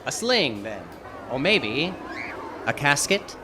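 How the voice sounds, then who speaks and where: An actor playing a hard-boiled, wisecracking detective who narrates and talks with dry wit in a live old-time radio noir. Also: male voice, on the high side, takes it slowly, a crowd in the background, background noise. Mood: cool needling. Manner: dry, deadpan, wry.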